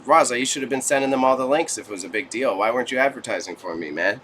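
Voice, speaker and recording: A man's voice: mid-range voice, racing, fairly bright tone. A Canadian man chatting casually with viewers as a livestream host, with slangy, joking banter. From Toronto, Canada. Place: small warehouse space stacked with goods.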